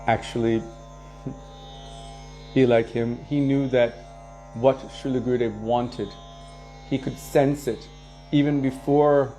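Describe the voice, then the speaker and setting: A man leading a devotional program, casually answering viewer questions and sharing anecdotes in a relaxed way, chuckling now and then. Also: medium pitch, slow pace, pauses often, soft background music. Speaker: kirtan leader and devotional teacher.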